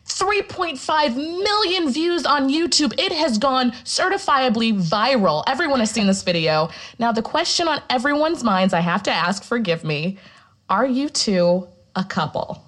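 Female breakfast TV presenter: high voice, gushing, emphatic, excitable, fiction podcast drama. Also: a little slow. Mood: gushing, excited. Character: gushing, excitable female morning TV show host. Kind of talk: breezy television presenting.

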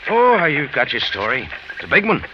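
Casting: world-weary tough American man, medium pitch, swinging intonation, clipped delivery, old radio drama sound. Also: wildly swooping pitch, normal speed, noisy background. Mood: wistful, resigned. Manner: clipped, hard-boiled. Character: world-weary tough American adventurer running a Cairo cafe. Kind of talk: 1940s radio drama acting, first-person narration and dialogue. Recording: muffled vintage radio recording with music behind.

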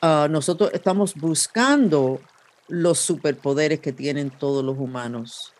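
A woman's voice: low-pitched, measured pace, darkish tone. Podcast host woman. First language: English. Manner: rambling, meandering with restarts and fillers. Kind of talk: casual podcast conversation.

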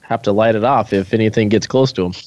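A man's voice: on the low side, fast talker, a little mellow, dry recording, dull audio. A man hosting a casual interview, asking easygoing questions with joking banter. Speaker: podcast host.

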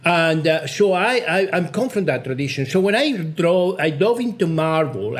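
Effusive older man, slightly high voice. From Mexico. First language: Spanish. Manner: effusive, emphatic, repeats words. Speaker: cinematographer, interview guest. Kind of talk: conversational interview answers.

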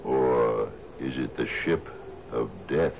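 Deep-voiced, troubled old sea captain. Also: male voice, takes it slowly, gravelly, exaggerated voice. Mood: haunted. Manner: hesitant, stammering. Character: troubled older sea captain, wistful, easily shaken. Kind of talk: 1970s American radio drama acting. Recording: muffled, noisy 1970s radio broadcast.

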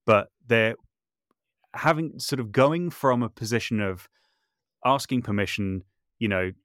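A man's voice: medium pitch, lively intonation, measured pace, a bit bright, dry recording, studio quality. A man speaking casually as an interview guest, thoughtful and self-deprecating, often circling back to rephrase what he says. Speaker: interview guest, composer and voice artist. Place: small brick outbuilding home studio.

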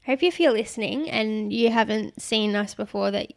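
A woman with a girlish, young-sounding voice that sits fairly high. She chats fast and giggles easily as a podcast co-host. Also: gravelly. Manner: giggly, quick and chatty. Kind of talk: casual chat.

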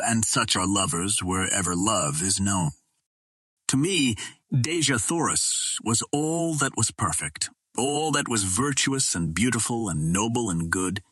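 A man reading a first-person adventure novel aloud in a measured, literary storytelling delivery. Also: on the low side, fairly bright tone, breathy edge, no echo. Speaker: audiobook reader.

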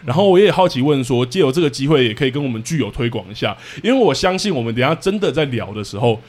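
Male podcast host, Taiwanese Mandarin accent, quick pace, casual and self-deprecating interviewer. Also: medium pitch, animated.

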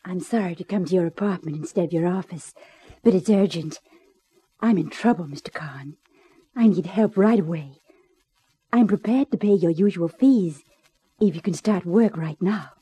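An actress playing a cool but urgent client in trouble in a 1950s radio drama, husky and breathy. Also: female voice, on the low side, normal speed, mellow, no room sound. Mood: urgent, composed.

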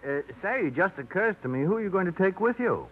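Fussy, hesitant librarian. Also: male voice, high voice, sing-song, fast talker. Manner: hesitant, hemming and hawing. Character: fussy, timid small-town library head. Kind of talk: 1950s radio drama acting. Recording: lo-fi 1950s radio broadcast, faint hiss.

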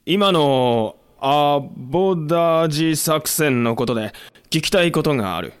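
An actor playing a blunt, proud samurai in a historical audio drama, speaking in a terse, questioning manner. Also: male voice, fairly high pitch, expressive, a little slow, clean tone, no echo, studio quality. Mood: guarded. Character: blunt, proud samurai warrior wary of foreign tactics.

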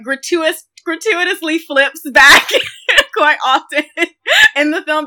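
Bright, high-voiced giggly woman. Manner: giggly, gushing, conversational. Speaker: podcast co-host. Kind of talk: casual film discussion.

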